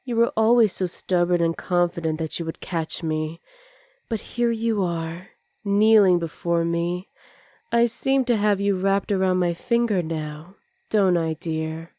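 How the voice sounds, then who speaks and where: A woman voicing a dominant, teasing supervillain in an ASMR roleplay, smug and commanding with soft, intimate delivery. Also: lowish voice, measured pace, no echo, studio quality, close to an everyday voice. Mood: amused, smug.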